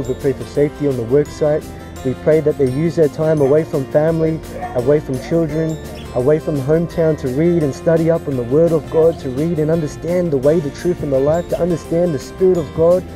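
A man preaching scripture outdoors to a passer-by, earnest and quick to pray in tongues, recorded on a chest-worn camera. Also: a little high. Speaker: street preacher. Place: outdoors on a street beside a shopping centre car park. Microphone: chest-worn action camera.

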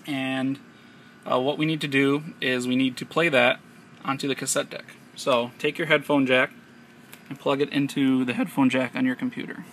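A man's voice: medium pitch, flat delivery, normal speed, fairly bright tone. An American man narrating an unscripted hands-on tech tutorial step by step, recorded on a handheld camera. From United States. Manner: explanatory, step-by-step instruction. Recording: noisy amateur handheld camera audio.

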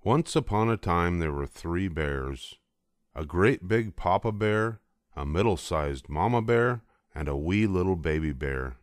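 A man's voice: low voice, lively intonation, unhurried, no room sound, studio quality. An older man reading a children's storybook aloud as scripted narration. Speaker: storybook reader.

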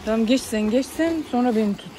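Woman vlogging over loud wind. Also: fairly high pitch, fast. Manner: chatty, talking to a companion while climbing. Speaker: travel vlogger. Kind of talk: casual walking-tour commentary. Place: rocky seaside cliffs outdoors. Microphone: handheld camera, speaker behind it. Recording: loud wind noise on the mic.

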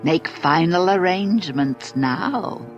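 An actor playing a ghoulish, gleefully macabre host in a spooky promo, theatrical and sly. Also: male voice, sits high, lively intonation, takes it slowly, soft background music. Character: ghoulish host gleefully inviting listeners to die. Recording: dull, muffled recording.

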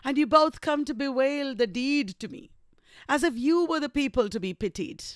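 A woman's voice: sits high, lively intonation, moderate pace, no room sound, clean studio sound, pitched above the performer's own voice. A woman reading the part of a proud, bitter, dying young woman reproaching her lover in a classic novel. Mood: bitter, reproachful.

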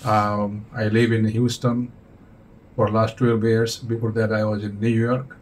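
A man in his forties from Bangladesh, a doctor, answering interview questions plainly and calmly in an office, with slightly English. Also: lowish voice. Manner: plain, measured, slightly non-native English. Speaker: physician, interview guest.

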